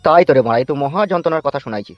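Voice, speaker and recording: Casting: male actor as indignant poor cartoon dad, rapid and fairly high, slightly dull audio over music. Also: expressive, no echo, natural voice. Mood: aggrieved, lamenting. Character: poor, aggrieved village father, weary and indignant. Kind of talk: comic cartoon dialogue in rural dialect.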